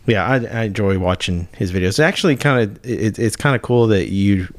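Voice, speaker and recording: A man co-hosting a casual porch chat, easygoing and halting, with many restarts. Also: lowish voice, lively intonation, dry recording. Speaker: podcast co-host.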